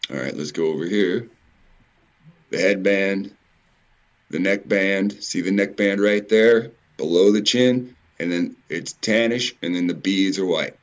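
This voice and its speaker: A man giving informal, rambling commentary in a halting way, repeating words and swearing mildly now and then. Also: sits low. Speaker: video presenter. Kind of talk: informal rambling commentary over on-screen images.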